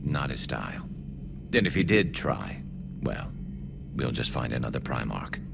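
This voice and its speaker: An actor playing a seasoned, loyal alien military officer in a video game, gravelly and measured, with dry understatement. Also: male voice, rumbling low, very animated, background noise, heavily acted. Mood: wry, resolute. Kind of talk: video game dialogue acting. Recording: voice over loud ship ambience.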